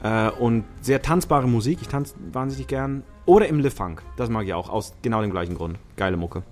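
A man from Switzerland, a musician chatting as a podcast guest, lively and talkative with many fillers. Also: mid-range voice, a little fast, faint music behind. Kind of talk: casual chat.

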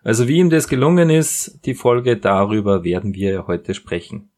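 A man's voice: lowish voice, very animated, moderate pace, darkish tone. A man with a Bavarian-Austrian accent co-hosting a podcast, chatting casually in folksy, repetitive phrasing. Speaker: podcast co-host. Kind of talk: casual chat.

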